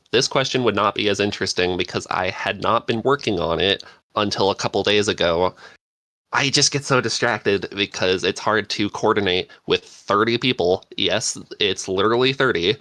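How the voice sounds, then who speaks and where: A man chatting casually as a podcast panelist, excitable and self-deprecating in manner. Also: on the low side, dry recording.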